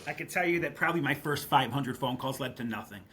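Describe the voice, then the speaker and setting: A man's voice: mid-range voice, quick pace, background noise. A man from western New York, a podcast guest, candidly telling anecdotes and checking in with frequent asides. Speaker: guest, real estate business leader. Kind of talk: podcast interview storytelling.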